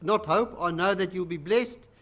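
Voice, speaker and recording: A man giving a welcome and announcements in a small church, loud and chatty, with many fillers. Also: high-pitched, moderate pace, husky.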